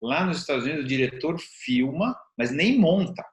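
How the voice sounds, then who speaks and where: A man's voice: a little high, expressive, moderate pace. An older-sounding Brazilian man with a slightly raised voice talks things through in conversation. He explains step by step and repeats phrases for emphasis, in a roomy, echoing recording. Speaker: interview show host. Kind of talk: conversational discussion.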